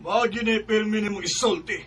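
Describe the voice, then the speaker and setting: A man's voice: high-pitched, fairly quick, fairly bright tone, slightly rough. A man with a Cebuano accent playing an emotional, aggrieved man in a radio drama. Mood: angry shouting. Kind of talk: Filipino radio drama acting. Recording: faint background noise.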